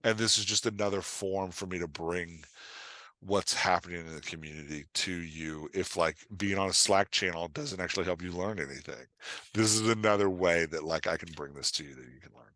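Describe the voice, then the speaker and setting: Bright-voiced man, dry close sound. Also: deep voice, a little fast, dry recording. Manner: rambling, peppered with "like" and reported quotes. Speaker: podcast guest and writing coach. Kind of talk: casual conversation, explaining and joking.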